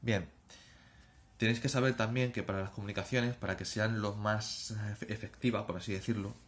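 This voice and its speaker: A man's voice: fairly low pitch, steady tone, moderate pace. A man with an accent from Spain presenting an informal explainer in a didactic manner, often checking in with short tag questions. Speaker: presenter of an emergency preparedness channel.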